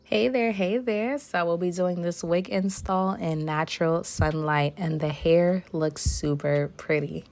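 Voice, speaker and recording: A young woman with a slightly hoarse voice, still recovering, walking through a wig review and install step by step. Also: on the low side, a little slow, few pauses, soft background music. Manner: step-by-step explaining, relaxed. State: hoarse, recovering from losing her voice. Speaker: beauty vlogger reviewing a wig. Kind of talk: product review voice-over.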